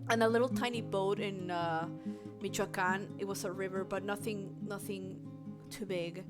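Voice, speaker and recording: A woman chats playfully in a casual livestream style while she plays a story game. Her pitch sits in a middle range for a woman, and loud game music runs behind her voice. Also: steady tone, normal speed. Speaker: live streamer playing a visual novel. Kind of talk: casual livestream chat mixed with reading game text aloud.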